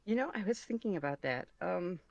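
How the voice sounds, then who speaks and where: Creaky-voiced, hesitant woman. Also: lowish voice, animated, a little fast, a little mellow, dry recording. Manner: hesitant, self-deprecating, rambling. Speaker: podcast guest, ASMR roleplay creator. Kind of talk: casual interview chat. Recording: dull, muffled close recording.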